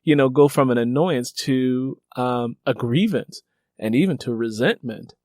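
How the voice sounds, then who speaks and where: A man's voice: medium pitch, a little slow, no echo. Man interviewing, rambling conversationally in a dry room. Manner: animated storyteller who acts out remembered exchanges; rambling, repeats "you know". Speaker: interviewer and novelist.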